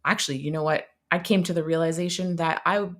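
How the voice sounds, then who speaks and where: A woman in her thirties, co-hosting a recovery podcast in casual chat, earnest and halting with many restarts. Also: deep voice, steady tone, fairly bright tone. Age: 30s. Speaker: podcast co-host.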